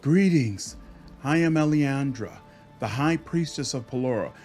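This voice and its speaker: A man voicing a proud, tired woman high priestess in a fantasy game, formal and gracious. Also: expressive, measured pace, a little mellow, faint music behind, lightly acted. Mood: grateful, weary.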